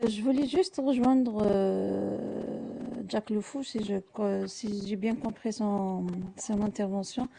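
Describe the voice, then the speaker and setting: A woman's voice: mid-range voice, fairly even intonation, slow pace, creaky, mellow. A woman giving personal testimony in a live discussion, hesitantly searching for words and repeating small ones. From Algeria. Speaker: live audio discussion participant.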